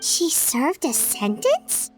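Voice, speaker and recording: A woman voicing a tiny, childlike, excitable fairy companion in a video game, eager in manner. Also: sits high, takes it slowly, soft background music, exaggerated voice, poor audio. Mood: curious. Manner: speaks of herself in third person, eager. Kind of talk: scripted video game dialogue.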